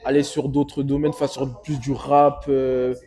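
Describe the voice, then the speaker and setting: A man interviewing a rapper in relaxed slang, dropping frequent short "ok"s between questions. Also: medium pitch, unhurried.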